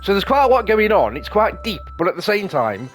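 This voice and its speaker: A man's voice: high-pitched, very animated, a little fast, fairly bright tone. A man hosting a gaming video, casually explaining play and reading tutorial text aloud in a rambling, explanatory way. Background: light game music.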